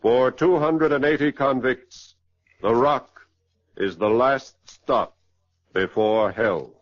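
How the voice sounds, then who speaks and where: A man narrating dramatically in 1940s radio style, measured and emphatic. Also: medium pitch, dry recording. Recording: dry, dull 1940s radio recording.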